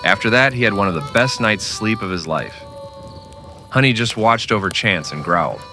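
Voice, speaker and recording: A man's voice: fairly low pitch, lively intonation, moderate pace, music in the background. A man narrating a scripted old-time western radio drama with dramatic storytelling delivery.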